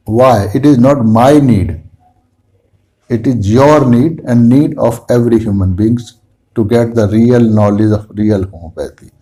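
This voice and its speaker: A man with an Indian accent, a native Hindi speaker, giving an earnest lecture on homeopathy to camera, slow and halting with restarts. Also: medium pitch, warm tone, rough recording. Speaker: homeopathy teacher.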